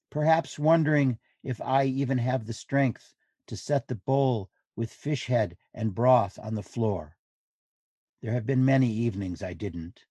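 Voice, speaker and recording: Slow, mellow man reading literature. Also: medium pitch, slightly rough, dry recording. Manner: measured, deliberate phrasing. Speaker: author reading translated diary entries.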